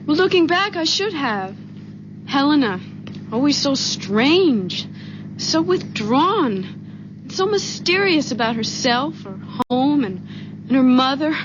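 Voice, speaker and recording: An actress playing an earnest, soft-hearted, anxious young wife with an emotive delivery in an old-time radio drama. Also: female voice, sits high, very animated, slow, full character voice. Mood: troubled, sympathetic. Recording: noisy, dull old radio recording.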